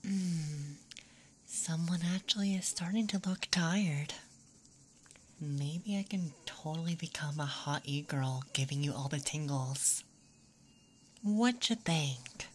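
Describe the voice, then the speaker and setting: Low, slow, teasing young tomboy played by a woman. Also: a little hiss or hum. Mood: teasing, soft. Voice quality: soft, breathy, sometimes whispering. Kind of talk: intimate ASMR roleplay. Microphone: close microphone.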